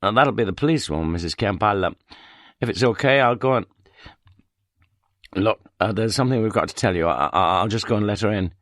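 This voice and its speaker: A man playing a patient police sergeant who speaks quietly, gently and hesitantly. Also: fairly low pitch, brisk, pauses often, no echo, clean studio sound, close to an everyday voice. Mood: gentle.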